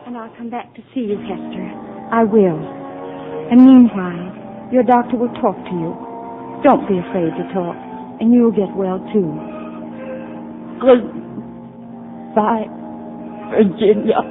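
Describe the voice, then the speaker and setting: An actress playing a gentle woman patient who is recovering and leaving an asylum, in a 1940s radio drama with a soft, earnest delivery. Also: medium pitch, slow pace, pauses often, natural voice. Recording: tinny 1940s radio broadcast, faint music.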